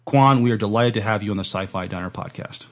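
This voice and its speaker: A man hosting a playful, casual podcast interview, speaking politely and haltingly as he plays along with his guest. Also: on the low side.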